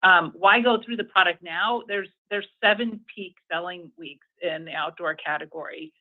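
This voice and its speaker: A man's voice: high voice, moderate pace. A male company executive answering analysts on an earnings call in an emphatic, confident manner, over a conference phone line.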